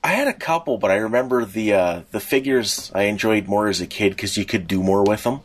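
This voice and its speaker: A middle-aged man co-hosting a podcast, explaining things casually and a little haltingly as he thinks aloud. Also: on the low side. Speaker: podcast co-host.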